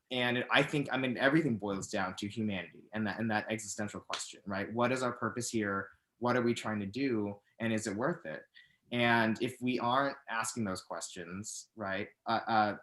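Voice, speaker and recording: A man's voice: mid-range voice, fairly bright tone, reverberant. A man on a video call panel, talking in a rambling, searching way and often checking with "right?". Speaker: panelist, theater artist.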